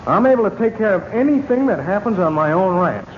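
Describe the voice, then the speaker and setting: A man's voice: high-pitched, big pitch swings, brisk. A man playing a domineering, hostile ranch foreman in a radio western, with an American accent and a curt, threatening manner. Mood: hostile, ordering. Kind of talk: 1940s radio western acting. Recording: muffled old radio recording, faint noise.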